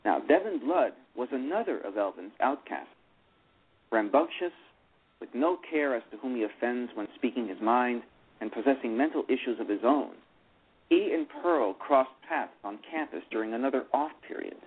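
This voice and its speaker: A man's voice: medium pitch, animated, normal speed, husky. A man narrating a novel aloud on a radio play, reading steadily and often correcting himself. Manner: reads steadily, with frequent self-corrections. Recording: tinny phone-line radio.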